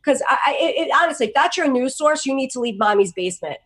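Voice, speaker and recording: A woman co-hosting a political talk show, animated and sarcastic with quick asides. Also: high voice. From New York. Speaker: co-host.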